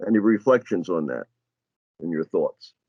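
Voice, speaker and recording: Halting older-sounding man moderating on a muffled call. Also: sits low, animated, breathy edge, dry recording. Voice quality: halting, many uh fillers. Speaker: panel moderator. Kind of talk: moderating a student panel. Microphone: video call.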